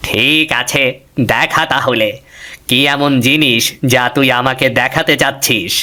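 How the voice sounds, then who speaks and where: A man's voice: medium pitch, unhurried, pushed and bright. A man playing a blustering giant demon king in a fairy-tale cartoon, speaking in an imperious way. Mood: menacing. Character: blustering giant demon king, a son missing his father.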